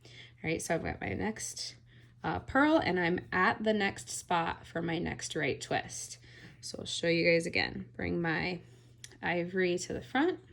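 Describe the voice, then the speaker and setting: A woman giving a relaxed, step-by-step knitting tutorial, often checking in with "all right?". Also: lowish voice, measured pace, gravelly. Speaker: knitting instructor.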